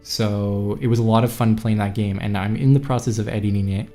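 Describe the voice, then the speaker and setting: A man's voice: fairly low pitch, fairly even intonation, fairly quick, warm tone, faint music behind. A man from Montreal chatting loosely with viewers on a live stream, rambling and reading out chat. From Montreal, Canada. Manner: rambling, scattered, reads chat aloud.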